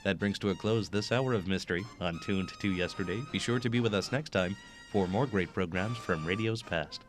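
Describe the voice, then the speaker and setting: Calm male radio host. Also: sits low, fairly quick, over music. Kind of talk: announcing a radio program.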